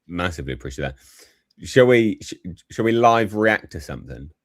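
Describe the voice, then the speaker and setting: A man co-hosting a political show in casual reaction chat, speaking haltingly, repeating himself and trailing off. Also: low voice, lively intonation, dry recording, studio quality. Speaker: co-host of a political commentary show.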